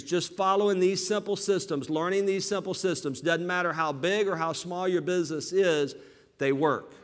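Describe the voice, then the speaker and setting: A man from southern Alabama with a Southern American accent giving an energetic motivational business seminar, calling out to his audience for responses. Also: high voice. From southern Alabama, USA. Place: large hall with live audience. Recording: reverberant hall.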